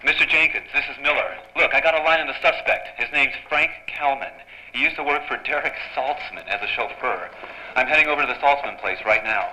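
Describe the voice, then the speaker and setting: A man's voice: mid-range voice, a little fast, bright, forceful tone. An actor playing a wisecracking, hardboiled newspaper reporter in a noir radio drama, with wry tough-guy slang. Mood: eager, confident. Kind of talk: noir radio drama acting with first-person narration. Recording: dull, noisy live radio-play recording.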